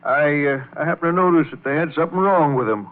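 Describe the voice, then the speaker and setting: A man's voice: on the high side, fast talker, lightly acted. Actor as folksy older man, tinny radio. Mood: sly, fatherly. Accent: American. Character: folksy, cautious older man, kindly kitchen hand. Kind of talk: 1940s-50s radio drama acting. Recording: tinny old radio, narrow band.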